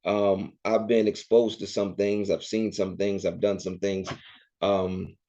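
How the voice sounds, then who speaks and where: Low, dark-voiced man, earnest and reflective. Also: little pitch movement, measured pace. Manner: earnest and reflective, with soft laughs. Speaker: podcast guest, entertainer and author. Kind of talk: casual interview conversation. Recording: dull lo-fi audio.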